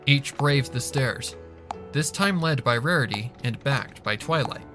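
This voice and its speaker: A man's voice: mid-range voice, animated, measured pace, pushed and bright. A man reading a fan fiction story aloud as scripted narration. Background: music.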